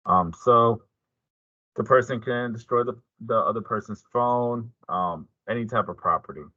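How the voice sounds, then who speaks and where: A man's voice: medium pitch, normal speed, pushed and bright, no room sound, lo-fi sound. A man giving an informational presentation as a police detective, explaining things in a plain, informal way.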